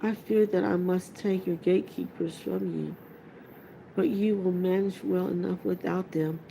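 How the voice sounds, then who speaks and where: A woman voicing a calm, authoritative male wizard in a storybook, measured and formal. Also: on the low side, creaky, a little hiss or hum, poor audio. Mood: firm.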